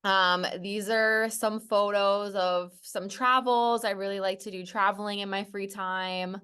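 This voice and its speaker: A woman working as a student success advisor, introducing herself at an online info session in a relaxed, matter-of-fact way with ums. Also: mid-range voice, unhurried, smooth.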